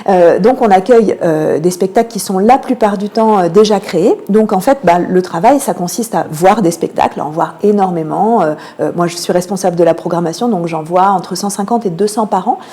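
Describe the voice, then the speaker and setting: A woman with a dark, relaxed voice at a middle pitch answers as a radio interview guest. She explains her work in detail. Her phrases are often broken by long, searching fillers. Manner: explanatory, frequent hesitations and drawn-out fillers. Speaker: theatre programming manager, interview guest.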